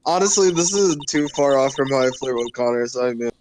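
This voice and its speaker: A woman's voice: a bass voice, expressive, a little fast, noisy background. A woman bantering casually on a game stream, joking and crude in her way of talking. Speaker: streamer voicing game characters.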